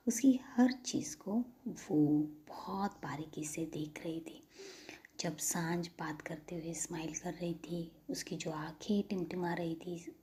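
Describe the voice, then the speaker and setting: A woman narrating a romantic audio story in a soft, half-whispered, breathy voice. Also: on the low side.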